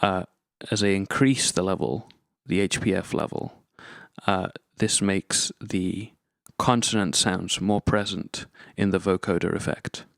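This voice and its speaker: A man's voice: deep voice, fairly even intonation, unhurried, creaky, fairly bright tone, no echo, clean studio sound. A man presenting an instructional gear demonstration, explaining methodically, step by step. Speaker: tutorial presenter.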